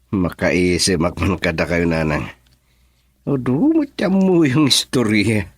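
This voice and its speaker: A lively young Ilocano fellow in a radio drama, friendly and teasing. His voice sits low but swings up and down freely, recorded close and dry. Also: slow pace, breathy edge, no room sound, exaggerated voice. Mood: chatty, teasing.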